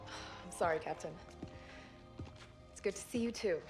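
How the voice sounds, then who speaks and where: A woman playing a brisk, driven scientist aboard a military starship in a video game, intent and businesslike. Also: lowish voice, music in the background. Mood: urgent, intrigued. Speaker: voice actor in game cutscene. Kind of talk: scripted sci-fi drama.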